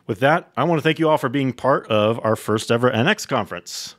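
A man's voice: mid-range voice, brisk, no room sound. A man, giving a keynote product presentation at a tech conference. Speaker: company co-founder giving keynote. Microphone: microphone close in front of mouth.

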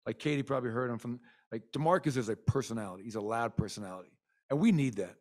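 A man's voice: mid-range voice, quick pace, darkish tone. A middle-aged man, a basketball coach, talking candidly at a postgame press conference into a desk mic, rambling with many fillers. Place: arena press room. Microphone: desk mic in front.

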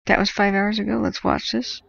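A woman's voice: mid-range voice, level tone, fairly quick, gravelly, fairly bright tone, dull audio. A woman with an American accent reading updates informally on a livestream, chattily correcting herself and adding side comments. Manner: chatty, self-correcting, interjects small reactions. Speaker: livestream host tracking wildfires.

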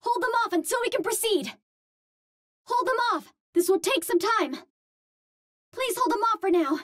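A woman's voice: very high voice, animated, moderate pace, fairly bright tone, no room sound, clean studio sound. A woman playing a cute, earnest young female Martian hero in a video game, speaking in precise, formal phrases. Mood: earnest, alert. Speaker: voice actor in a hero shooter game. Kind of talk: video game character voice lines.

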